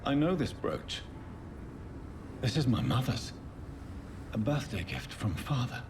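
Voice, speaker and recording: A man with an English accent playing a brooding, haunted gentleman doctor turned vampire in a video game, speaking gravely and formally. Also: mid-range voice, sing-song, slow, noisy background. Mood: grim, investigating. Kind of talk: dramatic game voice acting.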